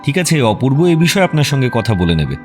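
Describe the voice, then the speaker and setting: An actor playing a composed, wry detective with a dry, teasing, self-assured manner in a radio drama. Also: male voice, mid-range voice, sing-song, fast talker, darkish tone, faint music behind, natural voice.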